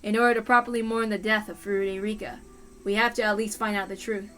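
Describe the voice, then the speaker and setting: Woman doing a serious police detective guy, steady and determined, bright tone, story reading w music. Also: medium pitch, fast talker, voice pushed down, natural voice. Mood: grave resolve. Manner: quiet, grave, resolute. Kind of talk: dramatic reading of a visual novel.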